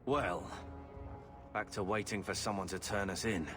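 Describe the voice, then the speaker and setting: A man's voice: sits low, fairly even intonation, moderate pace, close to an everyday voice. An actor playing a hot-headed, brooding young man in a fantasy game, speaking with earnest, bitter frustration in a reverberant space over music. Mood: bitter, frustrated.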